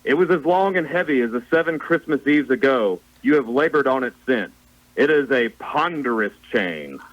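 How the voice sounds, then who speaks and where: A man's voice: mid-range voice, lively intonation, normal speed, close to an everyday voice. Guy doing a gloomy lamenting ghost in a radio play, big solemn speeches, kinda dull lo-fi sound. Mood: anguished, solemn. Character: mournful, doom-laden male ghost of a dead business partner. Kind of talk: theatrical radio play acting.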